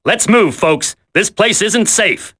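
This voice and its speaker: An actor playing a cocky, wisecracking, flippant commando in a dramatic video game. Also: male voice, high voice, slow pace, sharp tone, exaggerated voice. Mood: excited.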